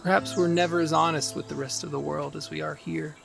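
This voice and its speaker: A man acting as a wistful younger brother in an audio drama, earnest and a little theatrical. The voice is fairly high for a man and creaky, with clear music and crickets behind it. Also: steady tone, brisk.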